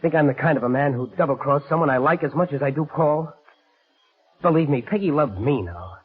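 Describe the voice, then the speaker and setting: A man's voice: a little high, animated, fairly quick, lightly acted. A man playing an anxious, insistent man in a 1940s radio drama, earnest and melodramatic in his pleading. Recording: muffled 1940s radio recording.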